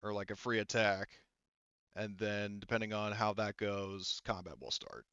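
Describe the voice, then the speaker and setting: A man's voice: fairly low pitch, unhurried, bright, forceful tone, clear, resonant tone, no room sound, muffled recording. A man casually narrating and refereeing a tabletop roleplaying game as game master, with some swearing.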